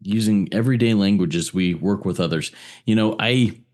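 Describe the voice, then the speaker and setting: Soft-spoken man moderating a discussion. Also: fairly low pitch, normal speed. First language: English. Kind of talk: casual discussion leading.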